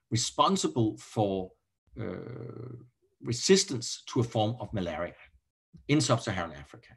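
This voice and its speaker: Husky Danish-accented male lecturer. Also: lowish voice. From Denmark. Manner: halting, self-correcting, repeats words. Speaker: university professor, lecturer. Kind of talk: explanatory online lecture. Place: indoor room, on a video call.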